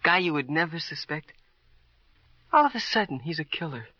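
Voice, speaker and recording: An actor playing a bitter, brittle seventeen-year-old city boy in an old radio crime drama, halting and needling. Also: on the high side, big pitch swings, sharp tone, no echo, heavily acted. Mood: sly, insinuating. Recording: dull, dry old radio recording.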